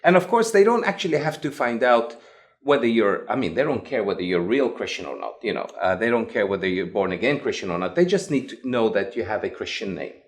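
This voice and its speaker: A man from Lebanon with an English accent, a guest recounting his past in an interview with animated, emphatic delivery. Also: on the low side, fast talker. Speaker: guest, evangelist. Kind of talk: interview storytelling.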